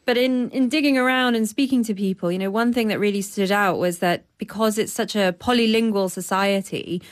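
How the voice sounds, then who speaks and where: A woman talks through her reporting in an easy, conversational manner. She pauses often and uses plenty of fillers and small restarts as she thinks aloud. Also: mid-range voice. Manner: thoughtful, many fillers and restarts. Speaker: journalist reporting a story. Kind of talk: conversational explainer.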